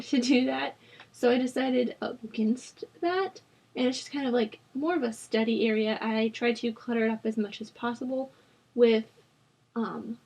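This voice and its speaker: A young woman host rambling casually over a game build, her voice rough from a sore throat, with lots of fillers. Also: fairly high pitch, a little mellow. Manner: rambling, chatty, with frequent fillers. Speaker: Sims builder channel host. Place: at home.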